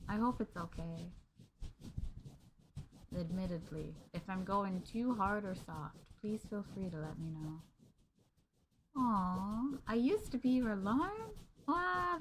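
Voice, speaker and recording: A young woman streamer chatting gently and sweetly with her viewers in a maid roleplay, calling them master. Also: mid-range voice, animated, slow, a little hiss or hum, low-quality recording.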